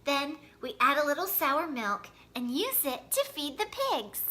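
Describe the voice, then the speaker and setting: Woman as a folksy farmer, high and bright. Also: very animated, unhurried, a little hiss or hum, full character voice. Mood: instructing. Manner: folksy, encouraging. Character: friendly, good-humored male farmer.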